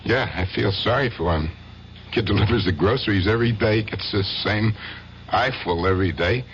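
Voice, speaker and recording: An actor playing an obsessively jealous, unrepentant husband in a 1970s radio drama, plain-spoken and self-justifying. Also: male voice, on the low side, normal speed, close to an everyday voice. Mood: grimly unrepentant. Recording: dull, noisy 1970s radio broadcast.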